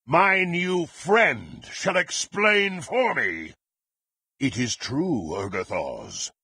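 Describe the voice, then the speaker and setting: An actor playing a power-hungry, contemptuous dark warrior in a fantasy video game, with a gravelly, husky voice and haughty, theatrical, archaic phrasing. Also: male voice, a little high, sing-song, drawn-out words, no echo, exaggerated voice. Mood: exultant, power-drunk.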